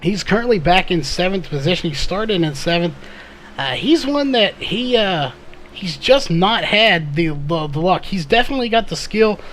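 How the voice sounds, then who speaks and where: A man doing live race commentary in a conversational, analytical way, his voice a little hoarse. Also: high-pitched, lively intonation, moderate pace, a bit bright, slight background noise, low-quality recording. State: slightly hoarse, losing his voice. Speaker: sim or short-track race play-by-play commentator.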